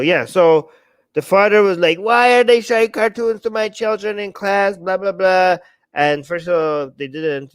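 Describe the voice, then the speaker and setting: A man's voice: fairly high pitch, smooth, dry recording, low-quality recording. A man co-hosting a political talk show, bluntly arguing his view and mimicking the people he disagrees with. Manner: blunt, argumentative, quotes others in mocking voices. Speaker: co-host.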